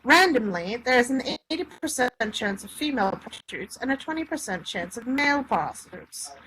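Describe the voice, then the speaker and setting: A woman with a bright, forceful and fairly high voice reads game rules aloud in a casual way. She stumbles a little over the text, and there is some background noise behind her. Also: sing-song, brisk, poor audio. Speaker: reader of a tabletop game supplement. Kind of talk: casual read-aloud with joking asides.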